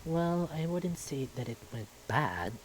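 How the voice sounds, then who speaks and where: A composed, courteous lord, acted by a man speaks calmly with a somewhat raised pitch. He is polite and careful, a newcomer at a fantasy court in a visual novel. Faint noise runs behind the voice. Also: slow, low-quality recording. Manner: courteous, calm.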